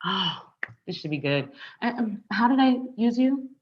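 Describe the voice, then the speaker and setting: Wry, animated ex-girlfriend, played by a woman, lo-fi sound. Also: mid-range voice, measured pace. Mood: sarcastic, hurt. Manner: pointed, sarcastic. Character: wry, confrontational, wounded ex-girlfriend. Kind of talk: conversational table read acting. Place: online live stream table read.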